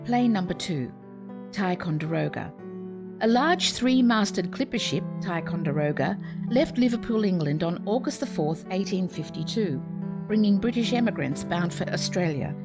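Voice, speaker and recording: A woman giving clear, even, scripted narration for a series of historical radio plays. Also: lowish voice, moderate pace. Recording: music playing behind. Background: music.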